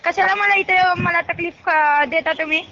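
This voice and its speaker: A woman's voice: extremely high pitch, fairly even intonation, moderate pace, sharp tone. A woman with a Marathi accent on a phone call, speaking in a curt, irritated, scolding way. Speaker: beauty parlour worker receiving a call. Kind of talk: exasperated phone conversation. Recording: dull lo-fi phone line.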